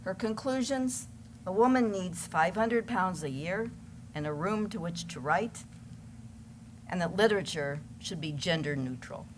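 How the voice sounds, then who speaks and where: A woman's voice: fairly low pitch, expressive, a little slow. A woman reading scripted introductions to literary readings for an audience. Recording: noisy live event recording.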